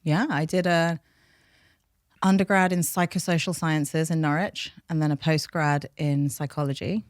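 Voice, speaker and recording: A woman's voice: low-pitched, steady tone, normal speed, no echo, studio quality. A woman from North London, a native Farsi speaker with a London accent, talking openly and reflectively about herself in an interview. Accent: London English. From North London, England. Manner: open, reflective. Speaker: podcast guest, behaviour change specialist. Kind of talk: candid personal interview.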